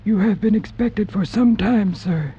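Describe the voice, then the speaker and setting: An eerie manservant of a gloomy house, deferential and measured. His voice is high and very breathy-husky, in vintage radio horror style on a dull old recording. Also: warm tone, exaggerated voice. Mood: ominous, deferential. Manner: deferential, measured, ominously certain.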